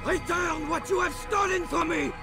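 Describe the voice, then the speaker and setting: An actor playing a belligerent enemy knight commander in a video game, shouting threats in a declamatory way. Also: male voice, very high voice, steady tone, normal speed. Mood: angry, threatening. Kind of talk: dramatic video game villain acting. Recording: loud game music behind.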